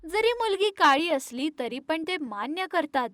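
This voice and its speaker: A woman narrating an animated moral story in a scripted storytelling style. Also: high-pitched, a little slow, dry recording, studio quality.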